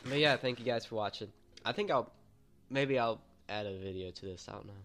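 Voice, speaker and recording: A young man with a stuffy nose and sore throat, rambling excitedly in a casual gaming vlog filmed at home. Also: mid-range voice, a little fast. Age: young adult. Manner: excitable, rambling, lots of fillers. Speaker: gaming YouTuber. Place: bedroom at home, indoors.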